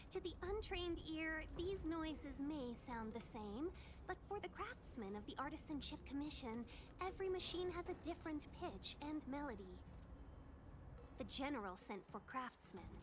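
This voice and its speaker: A woman's voice: high voice, noisy background. A woman voicing a polite, precise, confident female official in a video game. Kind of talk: scripted video game acting.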